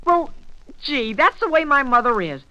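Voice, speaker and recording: An awkward, earnest teenage American boy in a 1940s radio comedy. His cracking voice is very high and bright, swooping widely as he stammers along. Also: very animated, moderate pace, highs cut off, full character voice. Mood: nervous bluster. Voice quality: adolescent breaking voice. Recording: vintage 1940s radio broadcast.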